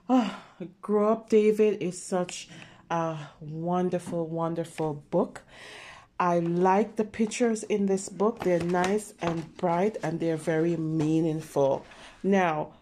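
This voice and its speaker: A woman reading a picture book aloud with simple, expressive commentary for young children, exclaiming and checking in with her listeners. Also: fairly low pitch. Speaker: children's story reader.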